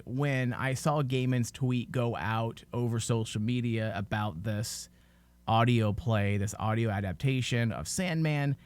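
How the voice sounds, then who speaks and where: A man's voice: medium pitch, no room sound. A man hosting a podcast, talking informally and giving his opinions in a casual discussion. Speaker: podcast host.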